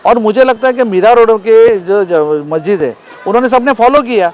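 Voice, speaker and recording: A man's voice: high-pitched, moderate pace, darkish tone. A man, a local party leader, answering a news interview insistently and arguing with rhetorical questions. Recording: outdoor street noise, passing vehicles.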